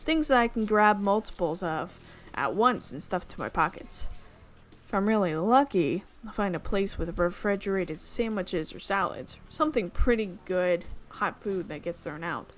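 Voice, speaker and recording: Woman reading a game aloud, stumbling over words. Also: mid-range voice. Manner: reads narration with frequent slips and self-corrections. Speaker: gaming video host. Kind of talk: reading a visual novel aloud.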